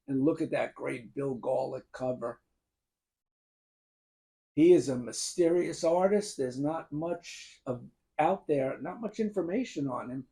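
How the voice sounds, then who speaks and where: A man in his fifties with a gruff, worn voice, enthusiastically ranking albums and listing songs to the camera. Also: fairly high pitch, mellow. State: gruff, strained voice. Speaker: music video host ranking albums. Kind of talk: casual talk to camera.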